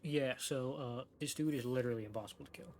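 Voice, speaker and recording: A man's voice: mid-range voice, quick pace, a little mellow, a little hiss or hum. A man giving casual, offhand playthrough commentary as a game streamer, thinking aloud and swearing now and then. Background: game music.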